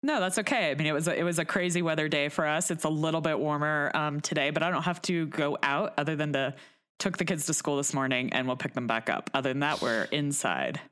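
A woman's voice: low voice, fast talker, fairly bright tone, clear, resonant tone. An American woman, around her thirties or forties, chatting casually as a podcast co-host. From United States. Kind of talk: casual chat.

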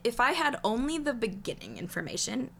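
A young woman, hoarse from losing her voice, hosting a podcast in casual chat, emphatic and swearing freely. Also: a little high, wildly swooping pitch, fairly quick, sharp tone. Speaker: podcast host telling the story.

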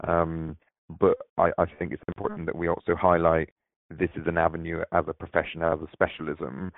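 A man's voice: low voice, flat delivery, brisk, no room sound. A man, born in Barbados, answering interview questions hesitantly with many fillers, over a phone-like line. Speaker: diversity manager, interview guest.

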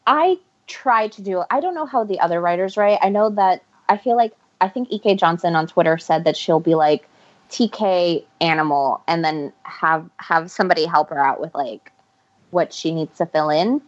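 A woman's voice: fairly low pitch, normal speed. Woman, from Ecuador, guest author, casual interview chat, laughs often, muffled recording. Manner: rambling, self-correcting, laughs often. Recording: muffled lo-fi audio.

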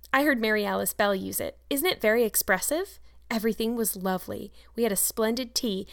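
A woman reading an audiobook voices an excitable, imaginative young girl with gushing, breathless enthusiasm. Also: on the high side, voice pushed up, lightly acted.

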